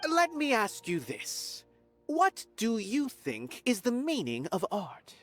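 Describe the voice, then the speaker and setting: A haughty, mysterious onlooker in a game who judges everyone he meets. He muses in a lilting, unhurried voice full of quiet condescension. Faint music runs beneath. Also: high voice, wildly swooping pitch, exaggerated voice. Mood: lofty. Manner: slow, musing, haughty. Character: aloof, mysterious theater observer, condescending. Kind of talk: game voice acting.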